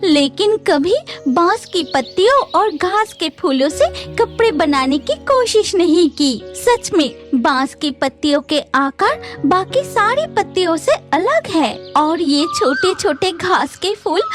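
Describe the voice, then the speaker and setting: A woman's voice: very high voice, full character voice. A woman voicing a humble, anxious tailor bird who is eager to please, in a children's cartoon. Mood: thoughtful. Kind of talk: Hindi children's cartoon dialogue.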